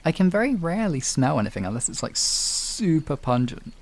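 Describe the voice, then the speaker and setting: British man, animated pitch, creaky voice. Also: a little fast. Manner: informal, sweary, rambling banter. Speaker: livestream host. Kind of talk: casual chat with stream viewers.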